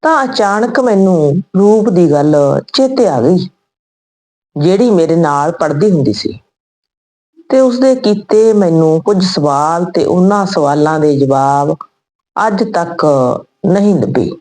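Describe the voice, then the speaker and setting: A woman narrating a scripted moral story in an earnest, measured way. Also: fairly low pitch, animated, warm tone.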